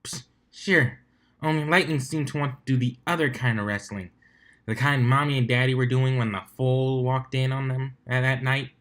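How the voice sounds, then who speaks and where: Man voicing a brash, gossipy pegasus mare, excitable. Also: mid-range voice, moderate pace, natural voice. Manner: excitable, slangy storytelling with many likes. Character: brash, gossipy female pegasus stunt flyer. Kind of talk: amateur fan fiction character reading.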